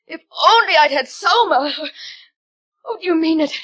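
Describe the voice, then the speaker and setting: A woman's voice: extremely high pitch, expressive, normal speed, sharp tone, full character voice. An actress playing a distraught middle-aged outcast mother, tearful, quavering and pleading, in 1950s radio drama style. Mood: pleading, indignant. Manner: pleading, melodramatic.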